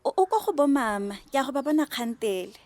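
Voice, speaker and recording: A young Botswana woman vlogger in a radio drama, practical and money-wise. Her voice sits high and swings in lively, expressive melody as she moves between two languages. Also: fast, dry recording, clean studio sound. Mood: concerned, insistent. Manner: expressive, switches between Setswana and English.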